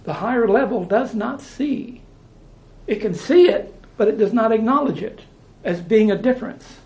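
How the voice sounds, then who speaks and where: High-voiced, gravelly man preaching casually. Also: normal speed, a little mellow, reverberant. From United States. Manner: conversational, repeats phrases, restarts, chuckles. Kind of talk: informal preaching.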